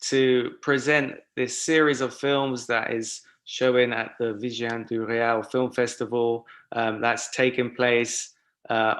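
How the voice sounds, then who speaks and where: A man's voice: medium pitch, little pitch movement, takes it slowly, a bit bright. A man hosting a film festival panel over a video call, speaking in a friendly way with frequent fillers.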